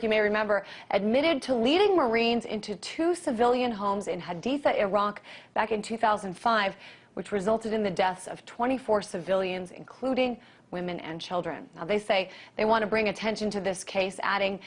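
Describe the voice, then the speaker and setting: An American woman reads and discusses the news as an anchor. Her pitch is average for a woman, and she delivers in a broadcast manner, moving between scripted reporting and live interviewing.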